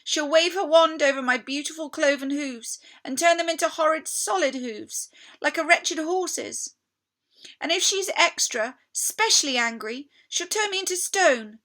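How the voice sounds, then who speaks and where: A woman's voice: high voice, fairly bright tone, voice pushed up. A woman voicing an anxious, guilt-ridden, tearful male fawn in a storybook, with a stammering, dramatic delivery. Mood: tearful dread.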